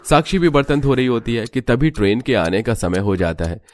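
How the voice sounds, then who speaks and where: A man's voice: mid-range voice, animated, a little fast. A man narrating a scripted cartoon story in a dramatic storytelling style.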